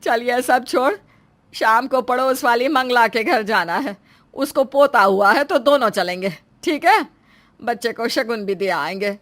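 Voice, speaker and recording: Woman voicing an exasperated, slow-paced mother-in-law. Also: on the high side, a bit bright. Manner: scolding, long-suffering, dramatic. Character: exasperated, proud middle-aged mother-in-law. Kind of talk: Hindi moral story cartoon dialogue.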